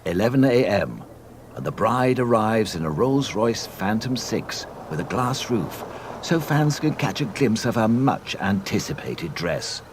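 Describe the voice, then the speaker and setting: Expressive male storybook narrator. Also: lowish voice, measured pace, slight background noise. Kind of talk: scripted mock-solemn read-along narration.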